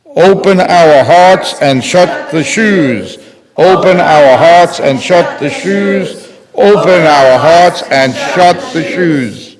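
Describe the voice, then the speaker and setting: A man's voice: fairly high pitch, slow pace, a crowd in the background. An older man giving a formal reading of a written statement at a synagogue podium. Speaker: readers theater performer. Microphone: podium microphone on a boom. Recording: reverberant hall.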